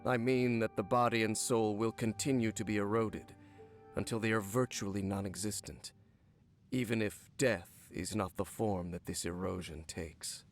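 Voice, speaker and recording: An actor playing a brooding, centuries-old cursed former guard captain in a video game, speaking in a formal, measured, solemn way. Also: male voice, lowish voice, fairly even intonation, natural voice. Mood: somber, weary. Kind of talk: video game character dialogue. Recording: game audio with background music. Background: game music.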